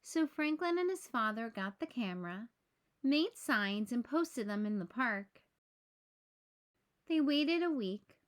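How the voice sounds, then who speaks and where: A woman reading a children's storybook aloud in measured, clearly phrased narration. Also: fairly high pitch.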